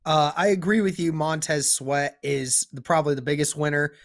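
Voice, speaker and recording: A man's voice: fairly high pitch, moderate pace. A young man with a sore throat hosting a casual sports podcast, upbeat and leading the talk. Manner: upbeat, leads the discussion. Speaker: podcast host.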